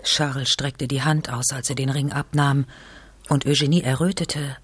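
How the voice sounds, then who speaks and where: A man narrating a literary radio drama in a creaky, husky voice. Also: mid-range voice, little pitch movement, normal speed, fairly bright tone, no echo.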